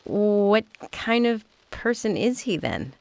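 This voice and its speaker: An American woman playing a sincere, candid, soft-hearted young woman in a video game drama. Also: medium pitch, sing-song, slow. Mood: curious, tentative. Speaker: voice actor in a game. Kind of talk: video game cutscene dialogue.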